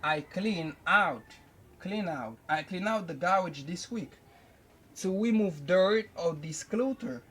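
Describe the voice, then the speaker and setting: Slow husky young man teaching. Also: high voice, expressive, a bit bright. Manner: slow, repetitive, explaining each phrase several times. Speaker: English teacher. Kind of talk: whiteboard lesson. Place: indoors at a whiteboard. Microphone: camera close by.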